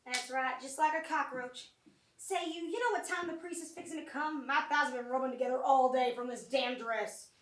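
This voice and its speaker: A woman's voice: sits high, normal speed, natural voice. A woman playing a brash, lustful Southern country woman at a funeral, sassy and complaining, in a live amateur stage comedy. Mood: grumbling. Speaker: actor in a staged reading of a short play. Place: small college theatre stage. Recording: roomy distant theatre mic, background noise.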